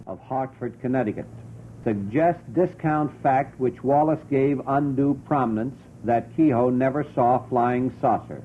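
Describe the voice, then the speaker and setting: A man hosts a late-night radio show in a conversational, courteous way, ad-libbing his talk and sponsor reads. His pitch is average. The sound is a narrow, vintage radio recording with faint noise and rumble. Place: radio studio. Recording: muffled 1950s radio broadcast, noisy, rumbling.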